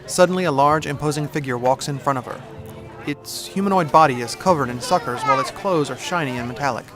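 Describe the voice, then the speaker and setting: A man's voice: mid-range voice, fast talker. Male audio drama narrator over music. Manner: descriptive, present-tense storytelling.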